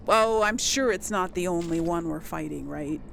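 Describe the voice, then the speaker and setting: A woman's voice: on the low side, moderate pace, gravelly, fairly bright tone, slight background noise. A woman giving casual gameplay commentary as a let's play host, musing aloud to herself. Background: video game music.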